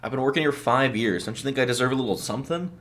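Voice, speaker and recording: A man's voice: mid-range voice, animated, rapid-fire, fairly bright tone, voice pushed up, close to an everyday voice. A man voicing a frustrated, insistent male store worker in an audio short story. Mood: aggrieved, pleading. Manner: insistent, aggrieved.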